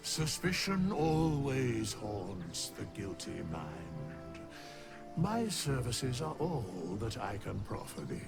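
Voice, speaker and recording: Casting: ancient skeletal keeper of the dead, fantasy game. Low, swooping pitch, archaic and cryptic, whispery edges, solemn and unhurried. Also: male voice, big pitch swings, creaky, music in the background, heavily acted. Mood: calm, cryptic. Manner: archaic, formal, cryptic, measured delivery. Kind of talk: video game voice acting, archaic English.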